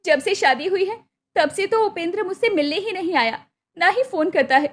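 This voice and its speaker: A woman's voice: very high voice, animated, moderate pace, voice pushed up. A woman voicing a hopeful, devoted young village sister in a cartoon story, earnest and pleading. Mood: sad, worried. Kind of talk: Hindi cartoon moral story acting.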